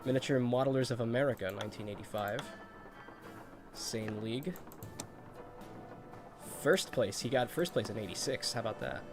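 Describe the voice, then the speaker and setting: Stuffy-nosed guy streaming an old game, chatty, reminiscing, laughs a lot, mid pitch. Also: normal speed, long pauses, music in the background. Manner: chatty and nostalgic, laughs often. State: congested from a cold. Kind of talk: casual game commentary.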